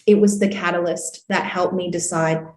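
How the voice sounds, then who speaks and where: A woman's voice: on the low side, steady tone, moderate pace, a little hiss or hum. A woman in her thirties with an Australian accent, chatting as a podcast guest in an upbeat way, full of "you know" and "like". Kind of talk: casual interview storytelling. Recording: roomy echo.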